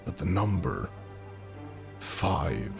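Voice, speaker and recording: A man narrating a fantasy audio drama in a slow, deliberate, brooding way. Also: low-pitched, very animated, gravelly. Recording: music under the narration. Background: music.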